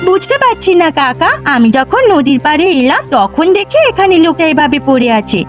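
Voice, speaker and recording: A woman's voice: high-pitched, very animated, fairly quick. A woman voicing an earnest young village woman in a cartoon, anxious to help and urgent in manner. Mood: anxious, pleading. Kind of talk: Bengali cartoon voice acting. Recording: music behind the voice.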